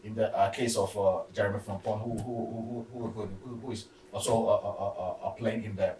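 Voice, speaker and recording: A man in an unscripted sports discussion, speaking haltingly and often stammering and repeating words as he goes. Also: on the low side, steady tone, mellow. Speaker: football discussion panellist. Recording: roomy echo, distant mic, faint noise.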